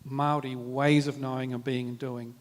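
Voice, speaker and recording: A man with a New Zealand accent, speaking at a live panel in a measured, reflective way with many fillers and restarts. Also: mid-range voice, flat delivery. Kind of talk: formal panel talk with Māori greetings. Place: large hall at a live event. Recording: reverberant hall.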